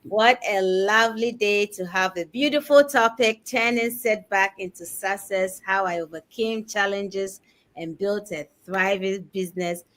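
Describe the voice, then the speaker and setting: An exuberant woman co-hosting a livestream, with an upbeat, drawn-out delivery. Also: medium pitch, fairly bright tone. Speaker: co-host of a livestream. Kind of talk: slow, upbeat show greeting.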